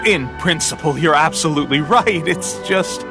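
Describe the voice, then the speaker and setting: A man's voice: fairly high pitch, normal speed, lightly acted. A man voicing a flustered, deferential publishing house clerk in a video game, stammering nervously over background music. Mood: hesitant, deferential. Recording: clear music behind the voice.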